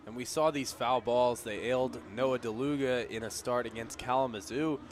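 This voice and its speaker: A man's voice: mid-range voice, steady tone, moderate pace, a little hiss or hum. A man giving conversational, explanatory color commentary on a live baseball radio broadcast. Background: outdoor ballpark ambience.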